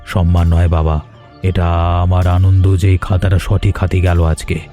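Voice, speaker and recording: An actor voicing a kind, generous older village gentleman in a cartoon, speaking in a gentle, fatherly way. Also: low-pitched, little pitch movement, normal speed, faint music behind, natural voice. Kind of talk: cartoon voice acting.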